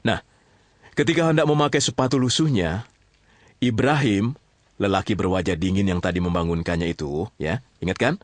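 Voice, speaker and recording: A man's voice: low-pitched, moderate pace. A man narrating a story in a conversational, colloquial way, often checking in with his listeners. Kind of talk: conversational storytelling in an audio drama.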